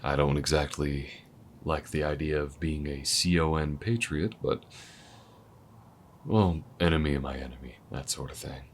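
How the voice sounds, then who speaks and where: A man voicing a calm, weathered alien gunslinger who mentors the listener, speaking low-key and laid-back, sometimes near a whisper, in an audio roleplay. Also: moderate pace, a little hiss or hum. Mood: steady, easygoing. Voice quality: very deep, low-key drawl.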